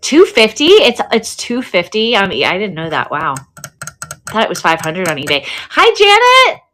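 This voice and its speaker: A woman's voice: mid-range voice, huge pitch swings, moderate pace, pushed and bright, a little hiss or hum. A woman hosting a live online sale in casual chat, effusive and chatty, often repeating words for emphasis. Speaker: host of an online selling livestream.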